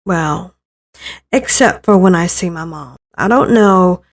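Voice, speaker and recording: A woman's voice: fairly low pitch, slow, voice pushed down. A woman voicing an insecure, earnest eighteen-year-old daughter in a visual novel reading, hesitant and prone to stammering. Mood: wistful, uneasy.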